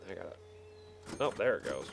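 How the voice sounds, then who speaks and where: A man casually telling a rambling story while playing a game, halting and repeating words as he goes. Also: deep voice, big pitch swings, vocal fry, background noise. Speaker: video game player telling stories.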